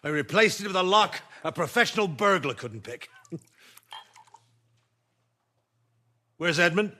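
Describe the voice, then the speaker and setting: A man playing a gruff, miserly older husband. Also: sits high, animated, brisk, pushed and bright. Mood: smug. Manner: gruff, then reassuring. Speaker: actor. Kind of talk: stage drama acting.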